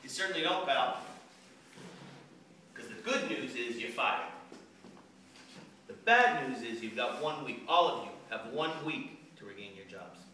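An actor playing an aggressive, profane sales boss from head office in a theatrical monologue, domineering, taunting and emphatic. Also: male voice, medium pitch, sing-song, unhurried, pauses often, sharp tone. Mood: cold, threatening. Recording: echoey room, distant mic, faint noise.

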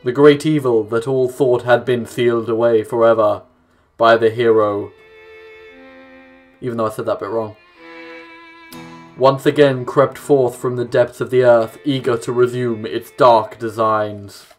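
A man's voice: mid-range voice, steady tone, unhurried. Young guy doing a gaming playthrough, offhand casual delivery, plucked guitar music under him. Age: young adult. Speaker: let's play host. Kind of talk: gaming commentary, reading game text aloud. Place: small room at home, indoors. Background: game music with plucked guitar.

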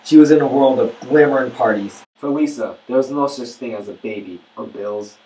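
A man's voice: mid-range voice, moderate pace, mellow, natural voice. A man acting as a haunted, poor young father, narrating his bitter confession as a dramatic monologue. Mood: resentful. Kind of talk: first-person dramatic monologue narration with music.

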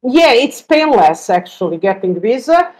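A woman's voice: a little high, sing-song, unhurried, rough recording. A middle-aged woman from Serbia with a Serbian accent, telling stories conversationally in non-native English as an interview guest. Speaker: interview guest, travel writer. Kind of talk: conversational storytelling. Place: indoor room, on a video call.

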